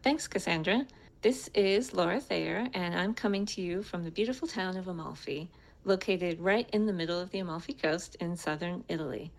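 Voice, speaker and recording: A woman's voice: lowish voice, creaky, rough recording. An American woman telling her personal story as a speaker, in a prepared but conversational way. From United States.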